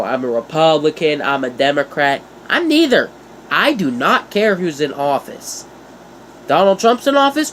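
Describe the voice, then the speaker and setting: A young man talks with a blocked, stuffy nose from a cold, rambling from one thought to the next with jokey, self-mocking asides. His pitch sits in the middle for a man. A faint air conditioner hum runs under his voice. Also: low-quality recording. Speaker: YouTuber. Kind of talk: casual vlog talk.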